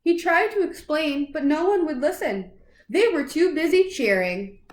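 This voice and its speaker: Woman, high voice, expressive children's storybook read-aloud, dramatic phrasing, roomy echo. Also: unhurried.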